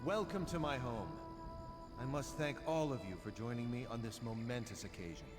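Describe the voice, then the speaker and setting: A man's voice: mid-range voice, moderate pace, lightly acted. A man playing a suave, pompous merchant lord making a grand speech in a video game. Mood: grand, welcoming. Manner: grand, oratorical. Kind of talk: video game character acting. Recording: loud music over the voice.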